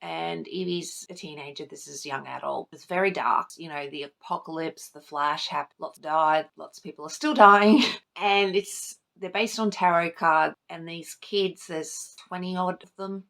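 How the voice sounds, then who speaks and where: Woman, fairly low pitch, gravelly fry, casual book vlogger, informal, rambling, self-interrupting, lightly sweary. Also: fairly bright tone. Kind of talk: casual chat to camera about books.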